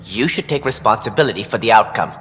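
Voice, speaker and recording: An actor playing an earnest male colony director in a video game, speaking deliberately about hard decisions. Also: on the low side, animated, fairly bright tone, in a large hall, a little hiss or hum, poor audio.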